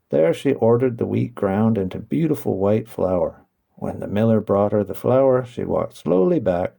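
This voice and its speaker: A man's voice: on the low side, creaky, mellow. A man narrating a children's bedtime story from a script, as in an audiobook.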